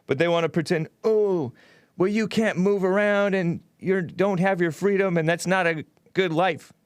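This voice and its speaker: A man's voice: high-pitched, moderate pace, clear, resonant tone, no echo. A man hosting a call-in talk show, talking casually and laughing at his own remarks.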